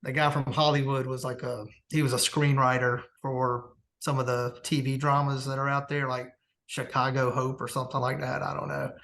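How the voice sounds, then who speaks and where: A man's voice: mid-range voice, little pitch movement, moderate pace, creaky, a bit bright. A man telling anecdotes in casual conversation as a podcast guest. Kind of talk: casual chat, storytelling.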